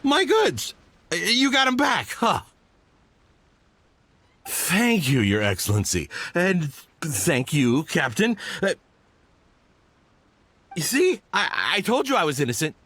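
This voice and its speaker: A brash, indignant merchant in a video game. His voice is high and sharp, swinging dramatically as he blusters and justifies himself. Faint music plays behind him. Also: huge pitch swings, takes it slowly, pauses often, heavily acted. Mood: flustered gratitude. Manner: blustering, mocking. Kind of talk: animated video game acting.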